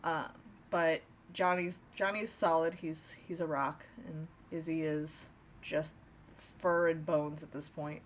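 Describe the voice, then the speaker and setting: A woman's voice: low voice, steady tone, slow pace, a little hiss or hum. A woman co-hosting a podcast, chatting casually in a playful, teasing way. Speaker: podcast co-host. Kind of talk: casual chat.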